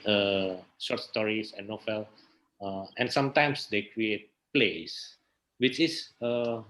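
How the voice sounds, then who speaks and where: Low, slow Indonesian-accented man. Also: pushed and bright, low-quality recording. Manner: hesitant, searching for words, repeats phrases. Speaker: interview guest, theatre researcher and festival director. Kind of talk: thoughtful interview answers.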